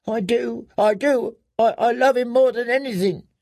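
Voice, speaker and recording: A man voicing a frightened, broken young captive girl in an audiobook, whimpering and pleading with halting, stammered repetitions. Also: very high voice, darkish tone, dry recording, clean studio sound, voice pushed up. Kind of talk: dramatic audiobook character reading.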